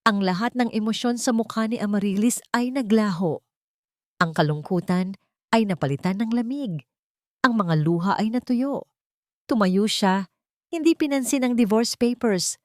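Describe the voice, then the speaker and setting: A woman narrating a scripted dramatic story in a measured, solemn way. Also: medium pitch, a little mellow, dry recording, studio quality.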